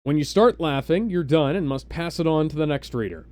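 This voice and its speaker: A man hosting a live stream, casually explaining things in a halting, rambling way with mock-serious asides. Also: a little high, expressive, a little mellow, no echo. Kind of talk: casual live introduction, explaining party game rules.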